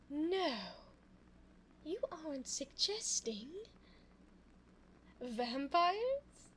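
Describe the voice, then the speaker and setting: A high, swooping female vampire, acted by a woman for an ASMR audio roleplay. She is sly, possessive and evil, speaking in a coy, theatrical manner with breathy asides. The close binaural recording carries faint background noise. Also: sing-song, very slow, pauses often, a bit bright, heavily acted. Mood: mocking amusement. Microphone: close binaural 3Dio microphone.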